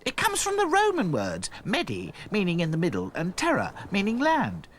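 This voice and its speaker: Man doing a high, eager girl doll. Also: wildly swooping pitch, normal speed, fairly bright tone, exaggerated voice. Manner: explaining, eager. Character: bright, knowing little female rag doll.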